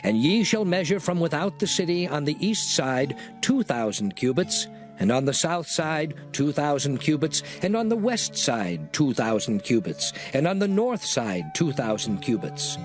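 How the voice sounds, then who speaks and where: An actor voicing the Lord's laws in a dramatized scripture reading, measured and declaiming. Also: male voice, a little high, sing-song. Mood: solemn. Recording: studio voice over music bed.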